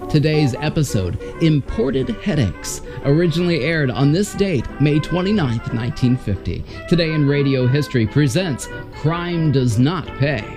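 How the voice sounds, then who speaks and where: A man's voice: fairly high pitch, expressive, moderate pace, hardly any pauses, over music. A man reading a scripted introduction as presenter of a vintage radio program. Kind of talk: scripted narration.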